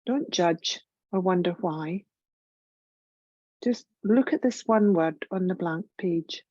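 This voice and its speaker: A woman with a lowish, soft-edged voice leads a guided meditation. She speaks gently and soothingly, leaving generous pauses between phrases. The recording is dry and close, with a slightly dull, muffled sound. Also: no room sound. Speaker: yoga nidra meditation guide.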